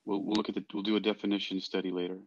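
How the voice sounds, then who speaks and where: A man's voice: low voice, little pitch movement, racing, a little hiss or hum. A man moderating a reading, coaching in a casual, helpful way and piecing his sentences together hesitantly, with dull, muffled sound. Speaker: podcast moderator helping the reader.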